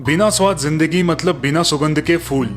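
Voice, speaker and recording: A man performs an earnest family man from a cartoon morality tale. His voice is on the high side for a male, with a puzzled air. Music runs behind it. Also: normal speed, natural voice. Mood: questioning, approving. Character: earnest Indian family men: puzzled father, husband. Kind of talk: cartoon moral-story voice acting.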